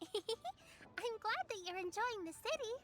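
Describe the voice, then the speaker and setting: A woman voicing a small, perky, eager fairy companion girl in a video game, with a squeaky childlike voice and chirpy delivery. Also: expressive, slow pace, faint music behind, full character voice. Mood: friendly. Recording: loud background noise.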